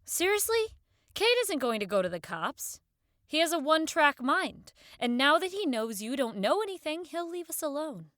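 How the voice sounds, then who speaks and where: Lady acting tough gang woman telling someone off, blunt, highish voice, dry close sound. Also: sing-song, normal speed, a bit bright, no echo. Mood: dismissive. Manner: blunt, scolding. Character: tough, no-nonsense female gang member, exasperated and blunt. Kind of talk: audio drama acting.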